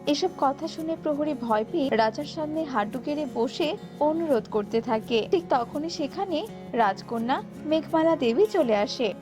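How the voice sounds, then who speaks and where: A woman's voice: high voice, expressive, moderate pace, over music. A woman narrating a scripted children's fairy tale as storyteller.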